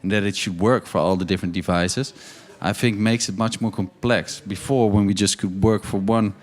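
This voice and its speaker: A man speaking as a panelist and agency co-founder, measured, explaining his points step by step. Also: on the low side, fairly even intonation. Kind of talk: conversational panel answers.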